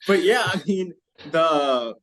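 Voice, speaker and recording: A man hosting a podcast, explaining exercise science in a conversational, halting way with frequent check-in questions. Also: fairly high pitch, lively intonation, fairly bright tone.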